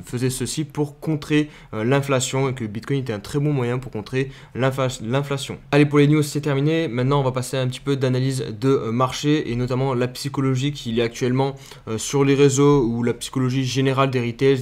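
A man's voice: medium pitch, a little mellow. Young male crypto YouTuber, casual screen-share commentary, filler-heavy. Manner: loose and chatty, many fillers and restarts.

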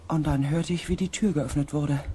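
A woman playing an anxious, weary space expedition pilot, narrating a dramatic audio drama in a hushed, intense way. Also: deep voice, steady tone, moderate pace, a little hiss or hum, close to an everyday voice. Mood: somber. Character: anxious, weary expedition pilot recounting events, far future.

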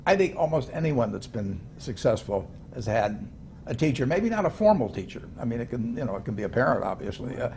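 An older man talking as an interview guest, halting with many restarts and chuckles, in a studio set like a living room. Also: medium pitch, very animated, a little mellow, a little hiss or hum. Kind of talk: casual interview talk.

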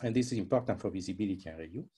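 Husky man giving an academic talk. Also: sits low, very fast, dark tone. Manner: conversational, explanatory, with rhetorical questions. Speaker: presenter, researcher. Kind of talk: academic slide presentation. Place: online video call presentation.

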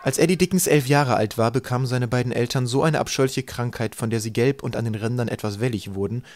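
A man reading a comic story as an audiobook narrator, in a dry, deliberate storytelling manner. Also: mid-range voice, darkish tone, faint music behind. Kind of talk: scripted literary narration, storytelling.

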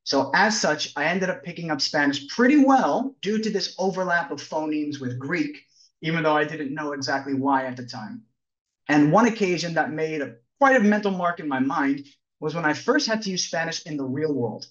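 A young man walks listeners through his slides with a friendly, lightly joking manner. His voice sits a little high for a man. It comes through a webcam with a distant, dull, muffled tone. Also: lively intonation, normal speed, a bit bright, reverberant. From New Jersey, USA. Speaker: presenter. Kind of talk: slide presentation on a video call. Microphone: webcam on a video call. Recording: distant, dull-toned video call audio.

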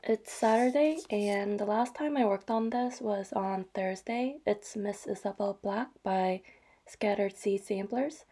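A woman vlogger narrating her craft projects in a soft, chatty way. She is slightly hoarse from a cold and adds small apologies and fillers. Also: mid-range voice, measured pace. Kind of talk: casual show-and-tell narration.

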